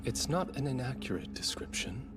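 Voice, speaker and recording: A man's voice: medium pitch, very animated, normal speed, natural voice. A man playing a cold, refined demon envoy, formal and haughty, in an English anime dub. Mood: cold disdain. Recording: loud music behind the voice.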